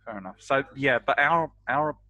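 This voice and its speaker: A man's voice: medium pitch, expressive, normal speed, sharp tone, no echo. A man playing a tabletop role-playing game, talking casually with the group as he works out the next move.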